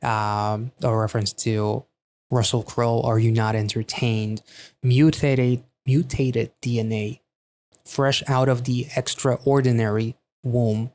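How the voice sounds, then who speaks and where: A man's voice: mid-range voice, fairly even intonation, slow pace, a little mellow. Man, music reaction host, casual commentary, enthusiastic, swears freely, mid-range voice.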